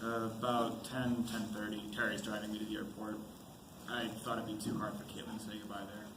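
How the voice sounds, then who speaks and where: A man's voice: fairly low pitch, monotone, brisk, close to an everyday voice. A teenage boy playing a frightened, apologetic young soldier and husband in an amateur student stage play. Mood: teasing, casual. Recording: echoey school stage, clear background noise.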